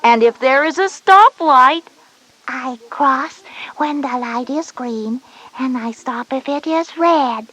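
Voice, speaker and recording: A woman voicing a small, earnest, curious kindergarten girl with a breathy voice and soft, careful delivery. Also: sits high, slow pace, exaggerated voice.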